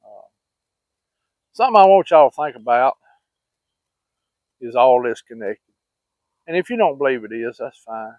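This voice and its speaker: Male storyteller, Southern US accent, mid pitch, rambling and plain-spoken; dry, narrow phone-like sound. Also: big pitch swings, moderate pace, long pauses, darkish tone, no room sound. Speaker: vlogger. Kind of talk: casual personal storytelling. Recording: muffled, phone-like narrow-band audio.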